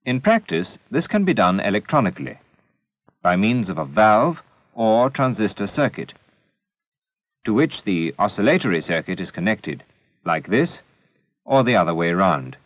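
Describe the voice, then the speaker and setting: A man's voice: fairly low pitch, very animated, no echo. Dull old film soundtrack, male educational narrator. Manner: measured, didactic, deliberate phrasing.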